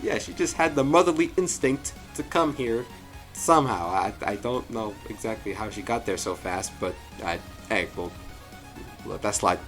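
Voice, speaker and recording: A man giving a casual, jokey review commentary as the host, with animated delivery and frequent asides. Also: lowish voice, normal speed. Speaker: host of a fan story review show. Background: music.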